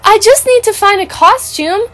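A woman's voice: very high-pitched, normal speed, fairly bright tone, voice pushed up. A woman playing an earnest, bookish, obsessive young schoolgirl in a doll cartoon, explaining herself. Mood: defensive.

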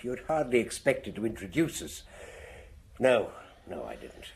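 An actor playing a haughty, self-important man in a radio drama, pompous and affronted, with a raspy voice. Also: mid-range voice, very animated, normal speed, a little mellow, slight background noise. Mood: indignant. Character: haughty, indignant, self-important male suspect with influential friends.

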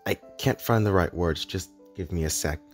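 A man playing a grave, lovelorn immortal in an animated drama, speaking earnestly in measured, formal, poetic phrases. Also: low voice. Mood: tender, hesitant. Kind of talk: dramatic animated-film voice acting. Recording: echoey hall reverb, music underneath.

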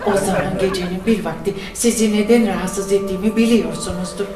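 A woman's voice: medium pitch, steady tone, brisk, roomy sound, music in the background, crowd noise, natural voice. A woman playing a frustrated, childless wife who complains in prayer. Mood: exasperated. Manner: complaining, exasperated.

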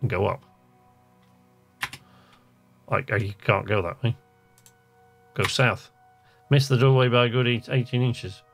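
A man's voice: mid-range voice, slow pace, creaky, long pauses, soft background music. A man casually commentating on a game he plays, chatting and muttering to himself and reading on-screen text aloud. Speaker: let's play host.

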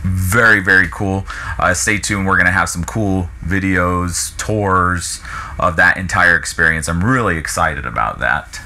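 A man's voice: low-pitched, measured pace, pushed and bright. An American man in his thirties hosting a casual vlog with enthusiasm, often repeating phrases for emphasis. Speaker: show host from an RV dealership. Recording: noticeable background noise.

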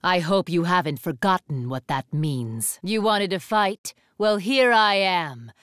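A woman's voice: fairly low pitch, animated, unhurried, fairly bright tone, smooth, no echo, studio quality. A woman voice actor playing an imperious, iron-willed young warrior woman in an anime game, with a haughty, formal, declamatory delivery. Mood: cool, measured challenge. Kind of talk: English anime game voice acting.

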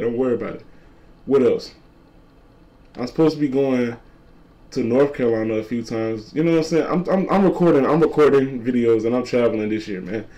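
A twenty-year-old man with a deep voice, a content creator talking casually to camera and repeating his points. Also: dark tone. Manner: repetitive, laid-back slangy talk, repeats lines. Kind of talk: casual vlog talk to camera.

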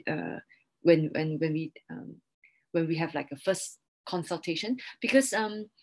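A young woman explains things as a webinar expert, halting and repeating words as she goes. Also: lowish voice, darkish tone. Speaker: interior design expert guest. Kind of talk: webinar question-and-answer, explaining. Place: small indoor room, video call.

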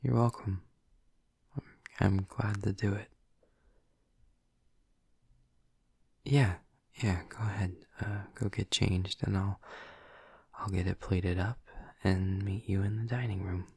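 Low, breathy, gentle vampire boyfriend, acted by a man. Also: fairly even intonation, a little slow, dry recording, heavily acted. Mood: shy, caring. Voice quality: breathy, husky, often near a whisper. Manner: gentle, hesitant, softly attentive. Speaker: audio roleplay performer. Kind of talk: intimate audio roleplay, ASMR-like.

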